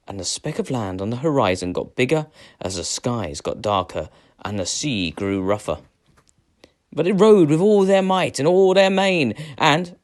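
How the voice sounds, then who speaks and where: A man reading a children's adventure tale aloud as a storyteller, with dramatic, measured phrasing. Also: medium pitch. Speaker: storyteller, teacher.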